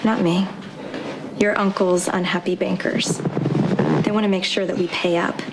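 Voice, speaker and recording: A woman's voice: on the low side, little pitch movement, normal speed, lightly acted. A woman playing a bitter, scheming young wife in a soap opera, cutting and sarcastic. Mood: cold, accusing. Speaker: actor in a TV serial drama. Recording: very noisy background.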